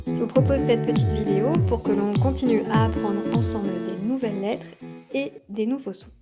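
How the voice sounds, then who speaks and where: A woman teaching a kindergarten class by video, speaking gently and articulating clearly. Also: medium pitch, very animated, fairly quick, over loud music. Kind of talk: teaching young children by video.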